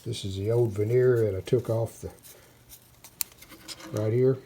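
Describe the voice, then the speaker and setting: A man giving casual, plainspoken, unhurried narration of hands-on workshop work, like a DIY video host. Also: lowish voice, fairly even intonation, mellow, slight background noise. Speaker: host of a restoration channel.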